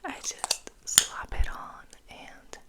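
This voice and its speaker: Whispering woman, slow ASMR ramble. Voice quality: breathy unvoiced whisper. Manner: intimate, slow, softly rambling. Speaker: ASMR video host. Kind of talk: ASMR, casual rambling to the viewer.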